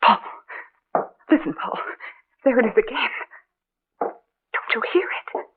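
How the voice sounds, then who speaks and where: An actress playing a frightened, insistent young wife in an old radio horror drama. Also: female voice, on the high side, slow, husky, heavily acted. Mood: anxious. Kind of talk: 1940s radio drama acting. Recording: tinny, muffled old radio recording.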